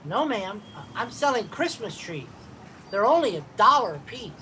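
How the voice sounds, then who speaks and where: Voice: medium pitch, big pitch swings, moderate pace, background noise, natural voice. An actor playing a cunning young boy who peddles with earnest, wheedling patter in a radio comedy.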